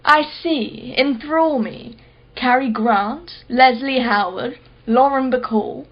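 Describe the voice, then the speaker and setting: Woman acting high-voiced, mocking lady customer. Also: slow pace, highs cut off, exaggerated voice. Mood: haughty, teasing. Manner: sarcastic, wordy, theatrical. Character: wealthy mocking woman customer, skeptical and theatrical. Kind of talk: radio play acting.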